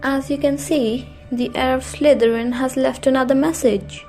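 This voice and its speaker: A woman playing a stern, authoritative older school professor in an audio drama, speaking formally and gravely. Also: high voice, steady tone, moderate pace, a little mellow, soft background music.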